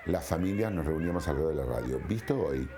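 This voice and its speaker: A man in his eighties reminisces in a reflective, halting way, repeating phrases. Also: very deep voice, lively intonation, noisy background. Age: 80s. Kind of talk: reminiscing in an interview.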